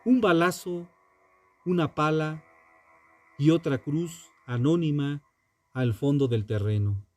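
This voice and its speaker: Male narrator for true crime: mellow, dark-toned, unhurried and grave, sententious scripted delivery over music. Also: fairly high pitch. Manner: solemn, deliberate, sententious. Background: background music.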